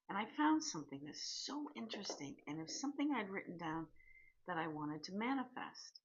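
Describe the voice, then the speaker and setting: A woman in her sixties from Canada, chatting candidly in a personal vlog, pausing and restarting as she goes. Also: lowish voice, breathy edge, muffled recording. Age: 60s. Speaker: vlogger.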